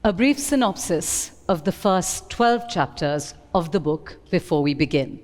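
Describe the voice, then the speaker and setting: A woman reading a prepared synopsis aloud at a live event, in measured, clear phrases. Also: mid-range voice, a little mellow. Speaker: reader at a book event. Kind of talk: scripted synopsis reading to an audience. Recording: long hall reverb.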